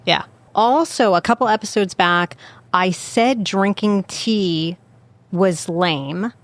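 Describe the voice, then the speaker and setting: A woman's voice: medium pitch, slow, no room sound. A woman hosting a local radio talk show, chatting and teasing in a bubbly, laughing way. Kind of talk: casual banter and chat.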